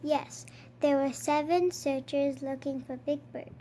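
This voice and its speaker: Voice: sits high, fairly even intonation, unhurried, mellow. A child reading a children's picture book aloud as a storyteller, with faint noise in the recording. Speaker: storybook reader.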